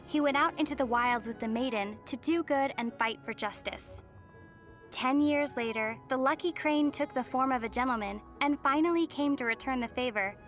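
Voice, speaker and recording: Woman as young game heroine, fairly high voice, composed, gentle, wry, measured delivery, music underneath. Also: lightly acted. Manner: measured, thoughtful storytelling. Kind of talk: video game character voice lines.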